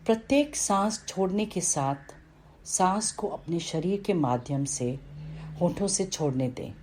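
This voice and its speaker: Slow, mellow woman guiding meditation. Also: lowish voice, expressive, a little hiss or hum, rough recording. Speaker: meditation guide. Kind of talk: guided meditation narration, read from a script.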